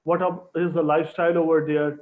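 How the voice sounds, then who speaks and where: A man from Pakistan hosting a live online information session in formal, halting phrasing over a video call. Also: fairly high pitch, little pitch movement. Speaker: host. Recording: tinny phone-like line.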